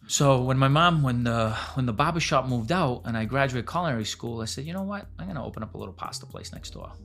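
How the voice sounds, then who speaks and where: A man's voice: medium pitch, animated, fast talker, vocal fry, a bit bright. A man in his forties telling his business story as a podcast guest, blunt and casual, swearing freely. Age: 40s. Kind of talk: candid storytelling interview.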